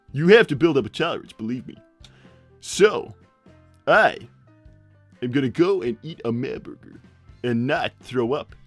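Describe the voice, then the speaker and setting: A man's voice: fairly high pitch, dry recording. A man voicing a short, crude cartoon hedgehog dad, rambling and repeating himself matter-of-factly in improvised livestream banter. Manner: halting, repetitive, matter-of-fact oversharing.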